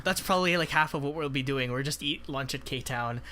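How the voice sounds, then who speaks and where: Bright voiced guy in his 20s, chatty podcast cohost, rambles and says like a lot. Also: mid-range voice, fairly quick, a little hiss or hum. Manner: rambling, laughs often, many "like" fillers. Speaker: podcast co-host. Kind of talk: casual chat.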